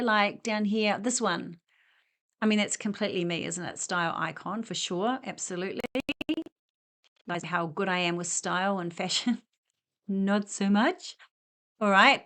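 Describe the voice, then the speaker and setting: A woman's voice: medium pitch, a bit bright. Brief: middle-aged woman, chatty shopping vlogger, rambling and self-deprecating, mid-range voice, in a store. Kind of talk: casual shop walk-through vlog. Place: inside a discount store.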